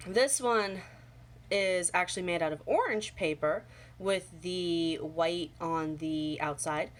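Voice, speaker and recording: A young woman with a slightly hoarse voice from a cold, casually explaining origami folds step by step at home, with many ums. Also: fairly low pitch, takes it slowly. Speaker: origami tutorial host. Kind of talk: casual how-to demonstration. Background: faint home sounds, toddler playing.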